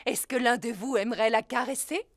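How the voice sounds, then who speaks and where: A woman's voice: fairly high pitch, a little fast, no echo, studio quality, natural voice. An actress voicing a patient female gazelle schoolteacher in a cartoon dub, kind and teacherly. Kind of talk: cartoon dubbing.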